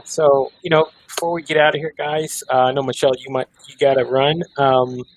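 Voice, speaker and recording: A man's voice: medium pitch, level tone, a little fast, low-quality recording. A man hosting a roundtable discussion, encouraging and conversational. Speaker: host.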